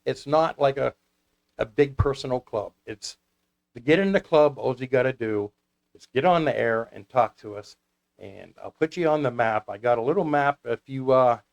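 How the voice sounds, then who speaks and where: A man's voice: medium pitch, normal speed, creaky, muffled recording. An American man chatting casually as an interview guest, telling stories in an anecdotal, conversational way. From United States. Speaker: guest, CB radio club founder.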